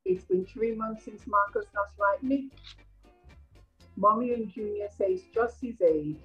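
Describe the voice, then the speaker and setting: A woman's voice: mid-range voice, a little slow, fairly bright tone, slightly rough, soft background music. An older woman with a Caribbean accent reading the part of a reflective, homesick migrant nurse in a play, over a video call. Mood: wistful. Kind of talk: rehearsed play reading, monologue. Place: indoor room at home, video call. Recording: muffled video-call audio.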